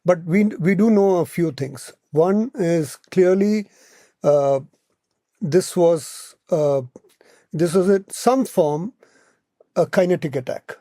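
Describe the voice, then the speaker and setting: Mellow, higher-pitched Indian-accented man. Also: takes it slowly, no echo, clean studio sound. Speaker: podcast guest, policy analyst. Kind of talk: explanatory podcast discussion.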